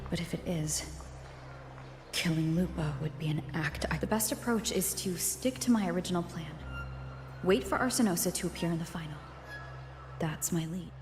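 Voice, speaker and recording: An actress plays a reserved young woman hero from a video game. She speaks in a measured, serious way, weighing each thought. Her voice rings with heavy reverb over clear music. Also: fairly low pitch, natural voice. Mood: pensive, resolute.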